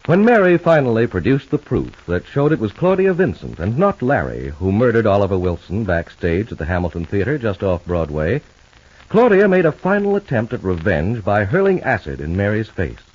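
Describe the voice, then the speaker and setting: A man narrating a 1950s radio soap opera in a dramatic, deliberate announcer's style. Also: fairly low pitch, big pitch swings, a little mellow, no room sound. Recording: dull, band-limited old radio recording.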